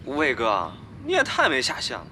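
An actor playing a hesitant young gamer underling, haltingly deferential but reproachful, in an audio drama. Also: male voice, medium pitch, huge pitch swings, very noisy. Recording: music under the voice.

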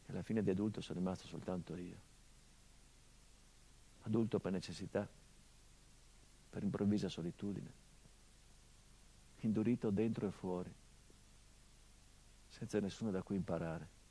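An actor playing a hardened, grieving middle-aged son, delivering a measured theatrical monologue that drops to hushed tones. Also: male voice, low voice, steady tone, slightly rough, poor audio. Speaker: stage actor.

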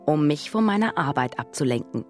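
A woman's voice: deep voice, lively intonation, a little fast, soft background music. A woman acting as the narrator of a radio play, telling a mystery from her childhood. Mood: reflective.

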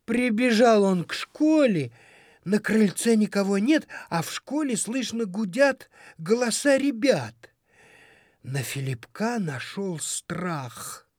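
A woman narrating a children's story in an expressive, dramatic storytelling manner. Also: medium pitch, slow, dry recording, clean studio sound. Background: music.

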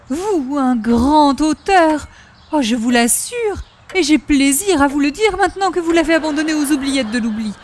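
An extremely high-pitched, romantic middle-aged busybody, effusive and theatrical. She gushes in prim, flowery phrases in the manner of old radio comedy. There is faint noise behind the voice. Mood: insistent. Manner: gushing, dramatic, prim, flowery.

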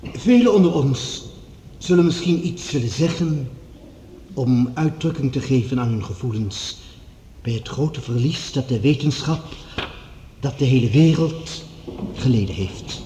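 Actor as mellow, grandly formal professor in echoing room. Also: male voice, mid-range voice, lively intonation, slight background noise, lightly acted. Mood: solemn oratory. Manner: formal, measured, oratorical. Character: smooth, calculating male professor, grandly formal rival scientist. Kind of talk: radio drama acting.

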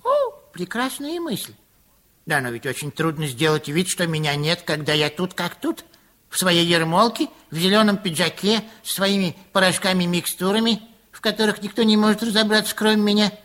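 A man's voice: high-pitched, lively intonation, normal speed, a bit bright. A man playing a fussy, anxious apothecary in a radio play, fretting and scheming as he talks to himself. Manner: fretful, talking to himself. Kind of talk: 1960s radio play acting.

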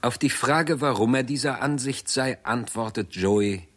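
A man narrating a 1960s radio drama as a sober, detached chronicler, measured and formal. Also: medium pitch, fairly bright tone.

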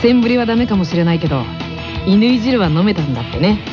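A woman playing a gently teasing teenage tennis player boy on an anime radio show. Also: mid-range voice, sing-song, fast talker, muffled recording, music in the background, lightly acted. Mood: playful.

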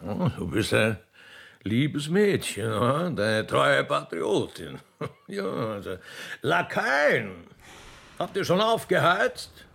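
A man playing a genteel, condescending but kindly old emperor with an Austrian accent and a gravelly voice in a radio play. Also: medium pitch, very animated, takes it slowly, fairly bright tone, heavily acted. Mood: kindly, musing. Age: older. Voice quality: gravelly, husky. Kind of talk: radio play character acting.

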